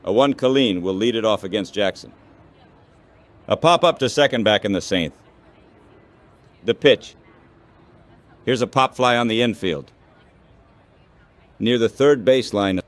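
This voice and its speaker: A man's voice: lowish voice, unhurried, pauses often. A synthetic text-to-speech man's voice calling baseball play-by-play in a radio style, in short clipped phrases.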